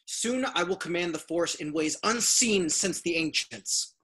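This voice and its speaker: A husky, menacing warlord, performed by a man at a table read. He speaks slowly and with cold authority, as a masked ruler who expects to be obeyed. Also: a little high, pushed and bright, full character voice. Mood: imperious. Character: menacing masked male dark-side warlord, cold and imperious. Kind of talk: fan table read of a film script.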